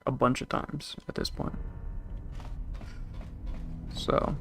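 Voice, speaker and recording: A man's voice: takes it slowly, slight background noise. A young man streaming a game, casually chatting and reading out chat messages in a rambling way. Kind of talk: casual chat with live chat while gaming.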